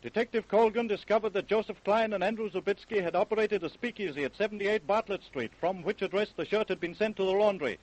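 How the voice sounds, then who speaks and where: Actor as higher-pitched American crime narrator. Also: male voice, fast talker, hardly any pauses, background noise, close to an everyday voice. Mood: dramatic. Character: urgent, solemn crime story narrator. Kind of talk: old-time radio crime drama narration.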